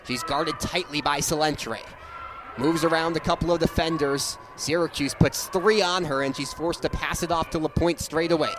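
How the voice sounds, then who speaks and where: A young man giving energetic live radio play-by-play commentary of a sports game. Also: fairly high pitch, normal speed, low-quality recording. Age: young adult. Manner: energetic, rises to excited calls on big plays. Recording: live stadium with crowd noise. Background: crowd noise at an outdoor game.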